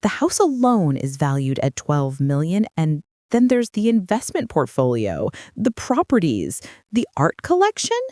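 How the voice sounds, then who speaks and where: Brief: sly older man, a woman puts him on, smooth mocking menace, unhurried delivery. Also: sits low, huge pitch swings, dark tone, no room sound, studio quality, heavily acted. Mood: smug.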